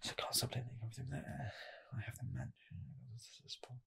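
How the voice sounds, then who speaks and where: A man playing an earnest rocket scientist applying for a fast food job, in a soft, half-whispered ASMR roleplay voice that rambles and restarts. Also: mid-range voice, little pitch movement, vocal fry, husky, exaggerated voice. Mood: torn. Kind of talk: ASMR personal attention roleplay.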